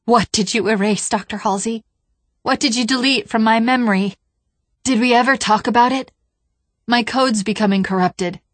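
A woman's voice: medium pitch, slow, no echo. A woman playing a failing female artificial intelligence in an audiobook, urgent, emotional and defiant as her mind breaks down. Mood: anguished pleading.

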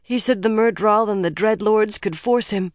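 A woman's voice: medium pitch, normal speed, no echo, studio quality, voice pushed up, lightly acted. A woman narrator voicing a frightened, stubborn young woman in an audiobook, pleading in a tremulous, emotional way. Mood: shaken, insistent.

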